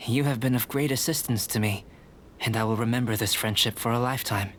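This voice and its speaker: A man playing a composed young male alchemist in a video game, speaking in a measured, formal, detached and polite way. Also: mid-range voice, a bit bright, a little hiss or hum, natural voice. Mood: calm, sincere. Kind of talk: scripted video game character dialogue. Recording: game music behind the voice. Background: clear background game music.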